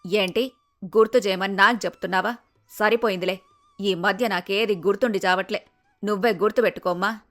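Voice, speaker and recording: A woman voicing a fond, scheming older mother in an animated moral story. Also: mid-range voice, brisk, long pauses, faint music behind, natural voice. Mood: fond, musing.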